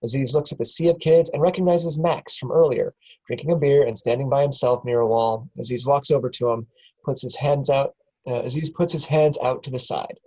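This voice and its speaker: A man's voice: mid-range voice, steady tone, a little fast, warm tone, rough recording. A man reading the stage directions aloud at a script table read, plain with small chuckles.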